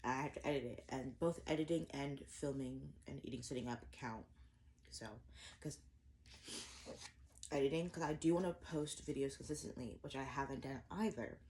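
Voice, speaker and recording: Low-voiced young woman rambling over breakfast. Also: moderate pace, mellow, slight background noise. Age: 20s. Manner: rambling, self-deprecating, many fillers and restarts. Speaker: vlogger. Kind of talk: casual eat-with-me chat to camera. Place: indoors at home, eating breakfast.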